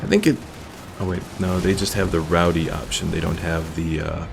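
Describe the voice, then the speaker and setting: A man's voice: low voice, little pitch movement, normal speed, vocal fry, over music. A man giving casual, chatty live commentary on a game he plays and reading out viewer comments. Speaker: game streamer.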